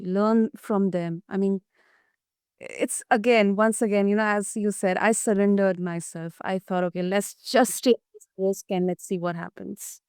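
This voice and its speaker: A woman's voice: medium pitch, a little mellow, smooth, dry recording, studio quality. A woman hosting a podcast interview over a video call, affirming and enthusiastic. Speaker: podcast host. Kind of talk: casual interview talk.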